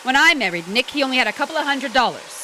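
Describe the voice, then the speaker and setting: Woman playing a proud, bright-voiced restless wife. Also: high voice, lively intonation, rapid-fire, slight background noise. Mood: scornful. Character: proud, restless young wife of a diner owner. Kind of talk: stage drama performed online.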